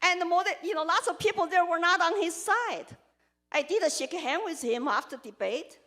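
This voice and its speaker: A woman's voice: extremely high pitch, expressive, moderate pace, a bit bright. A woman from China with a Chinese accent, giving an earnest campaign speech as a congressional candidate and listing her points in short, emphatic phrases. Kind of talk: campaign speech to a live audience.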